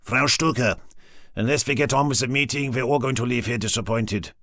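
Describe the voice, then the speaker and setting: A man voicing a gruff older German man, dry and sarcastic. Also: medium pitch, fairly quick, fairly bright tone, dry recording, close to an everyday voice.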